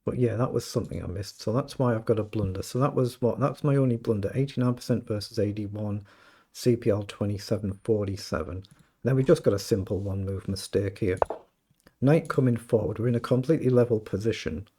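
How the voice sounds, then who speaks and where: Slightly croaky British man thinking aloud. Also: lowish voice, a little fast, dark tone. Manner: thinking aloud, self-questioning. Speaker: chess streamer. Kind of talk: casual live game commentary.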